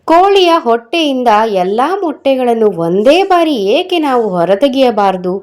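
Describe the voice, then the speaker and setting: A woman's voice: fairly high pitch, very animated, a little slow. A woman voicing a greedy, impatient farmer's wife in a children's storybook fable, with a scheming, persuasive manner. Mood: greedy, coaxing.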